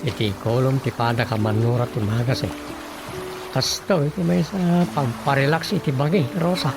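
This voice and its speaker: An actor with an Ilocano Filipino accent playing an easygoing, persuasive husband in a comedy radio drama, chatty and coaxing. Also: male voice, medium pitch, fairly even intonation, normal speed, creaky, over music, lightly acted. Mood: coaxing, playful.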